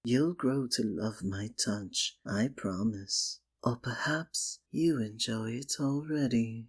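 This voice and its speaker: Slow, breathy, sinister magician. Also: male voice, medium pitch. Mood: tender, possessive. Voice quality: soft, breathy. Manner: slow, coaxing, theatrical, condescending endearments. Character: sinister, possessive male stage magician, soothing hypnotist. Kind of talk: ASMR roleplay, scripted dramatic monologue.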